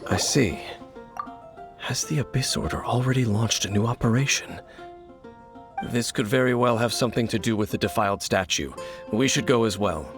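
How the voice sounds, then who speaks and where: A man voicing a serious, composed and knowing swordsman in a video game, speaking gravely. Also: medium pitch, moderate pace, natural voice. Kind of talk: video game cutscene acting. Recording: guitar music behind the voice.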